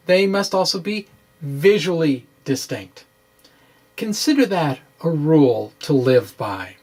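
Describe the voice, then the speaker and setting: Slow-paced middle-aged man explaining science. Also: fairly high pitch. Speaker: science blogger presenting. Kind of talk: informal dramatic reading of a physics explainer. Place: small indoor room with bookshelves. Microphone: microphone on stand close in front.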